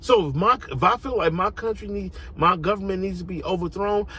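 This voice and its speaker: A man's voice: high voice, brisk, bright, forceful tone. An American man giving an opinionated, informal monologue, emphatic and slangy, repeating phrases to drive points home. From United States. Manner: casual street slang. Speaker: online commentator.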